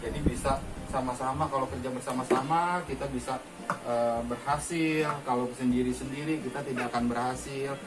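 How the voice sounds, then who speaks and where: A man telling a children's fable in a chatty way, often calling his listeners friends and pausing with fillers. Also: medium pitch, fairly even intonation, recorded from a distance, rough recording. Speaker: father telling a story. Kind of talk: children's storytelling. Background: music.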